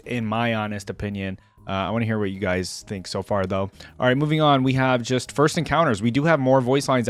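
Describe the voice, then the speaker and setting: A man's voice: medium pitch, no room sound. A man hosting a reaction video, giving informal, slangy, conversational commentary.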